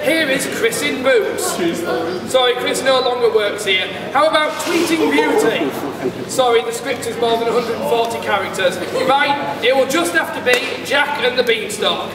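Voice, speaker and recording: A man presenting a comic scripted stage introduction with mock-grand announcing. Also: extremely high pitch. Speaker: pantomime presenter. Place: large hall, live stage performance, recorded from afar. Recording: distant, echoey hall, loud background noise.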